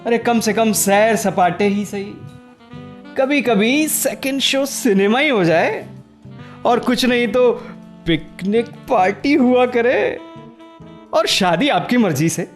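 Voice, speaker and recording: Breezy, slow-talking young suitor. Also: male voice, very high voice, lively intonation, faint music behind, heavily acted. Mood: wheedling. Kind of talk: Hindi radio play acting.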